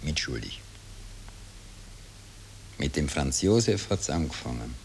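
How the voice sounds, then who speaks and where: A man's voice: extremely low pitch, expressive, takes it slowly, creaky, heavily acted. An actor playing a brooding grown man with a Viennese accent, reminiscing in old radio drama style. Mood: brooding, wistful. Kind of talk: 1960s German-language radio drama acting. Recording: hissy old tape.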